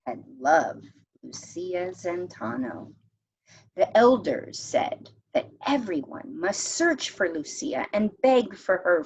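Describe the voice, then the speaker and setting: A woman teacher reading a story aloud expressively, with deliberate dramatic pauses and hushed phrases. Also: lowish voice, breathy edge, lo-fi sound.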